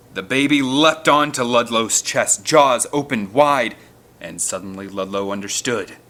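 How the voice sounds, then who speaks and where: A man who hosts and narrates audiobook readings in an expressive, dramatic way, at times speaking casually to listeners. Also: lowish voice. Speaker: narrator and channel host. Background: film score music.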